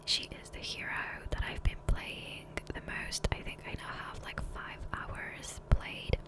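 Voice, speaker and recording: A woman speaking in a soft, breathy whisper, rambling casually and unhurriedly in an ASMR gameplay voiceover on a close microphone. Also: a little slow. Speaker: ASMR creator. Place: voiceover recorded separately from gameplay. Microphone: Blue Yeti USB microphone, close.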